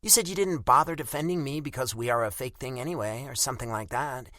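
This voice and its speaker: A man voicing a sharp, sarcastic young woman. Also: mid-range voice, fast talker, no room sound, studio quality, pitched above the performer's own voice, lightly acted. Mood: angry. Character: irritated young American woman, blunt and proud.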